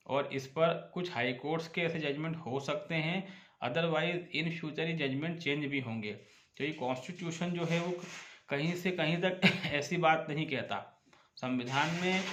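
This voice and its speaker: A man's voice: fairly high pitch, a bit bright, a little hiss or hum. A man explains law informally to viewers as a host and advocate, arguing emphatically and posing rhetorical questions. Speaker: advocate giving legal advice as channel host. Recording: echoey, roomy sound.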